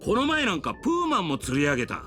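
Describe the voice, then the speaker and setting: An actor playing a gruff, easygoing fishing lover in a video game, with rough, folksy, teasing speech. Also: male voice, high voice, wildly swooping pitch, very fast, bright, forceful tone, a little hiss or hum, heavily acted. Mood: breezy, friendly. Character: gruff, easygoing man who loves fishing. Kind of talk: Japanese video game voice acting.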